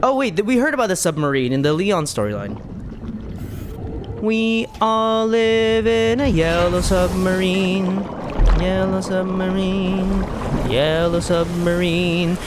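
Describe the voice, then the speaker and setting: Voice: mid-range voice, slow pace, music in the background. A game streamer giving casual playthrough commentary in a playful, theatrical way, dropping into sing-song asides.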